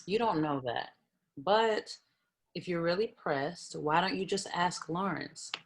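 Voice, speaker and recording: Actress as blunt professional best friend: lowish female voice, casual, frank, conversational table read. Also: a little slow. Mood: frank, concerned.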